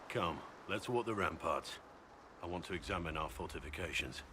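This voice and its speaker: A grim fantasy game warrior, with a low, deep voice. He is solemn, proud and intense, full of bitter resolve. Clear ambient noise sits behind him. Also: animated, moderate pace. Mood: grim, resolute. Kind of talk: video game cutscene acting.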